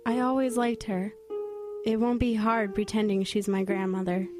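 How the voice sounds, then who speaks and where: A woman's voice: mid-range voice, little pitch movement, normal speed, music in the background, lightly acted. A woman playing a secretive girl who poses as someone else in a horror audio drama, speaking confidingly. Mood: pleased, conspiratorial.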